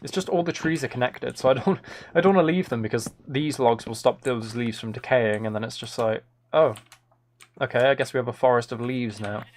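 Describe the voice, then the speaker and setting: A man's voice: medium pitch, fairly quick. A man chatting casually to viewers as a live game streamer, with a dry, joking, mock-serious manner. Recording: clear noise, keyboard and mouse clicks.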